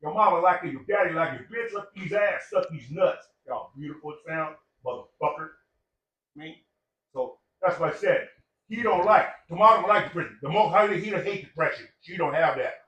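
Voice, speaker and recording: A man's voice: fairly high pitch, animated, fairly quick, fairly bright tone, distant mic. Profane, preachy older man in an echoey room. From Samoan heritage. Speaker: podcast guest. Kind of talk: casual chat.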